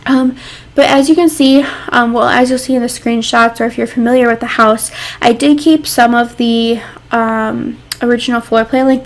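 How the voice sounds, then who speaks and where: A young woman talks through her build in a loose, rambling voice-over, full of ums and likes. She is congested, so she sounds stuffy and nasal. Her voice sits a little high and has a gravelly fry. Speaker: game builder narrating a build. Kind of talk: casual voice-over commentary.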